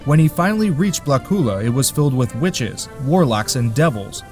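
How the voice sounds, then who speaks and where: A man's voice: mid-range voice, lively intonation, moderate pace, darkish tone. Man, storyteller, scripted folk tale narration, dry wry tone, faint music.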